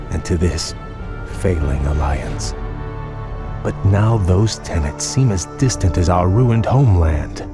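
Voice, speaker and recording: An actor playing a proud, troubled young elf prince in a fantasy game, speaking in a grave, formal way. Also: male voice, low-pitched. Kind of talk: dramatic fantasy game voice acting. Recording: background music under the voice.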